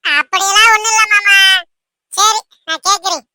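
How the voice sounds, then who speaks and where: A woman's voice: very high-pitched, normal speed, background noise. A woman voicing an anxious, timid young bride-to-be in an amateur cartoon dub, hesitant and fretful as she seeks reassurance.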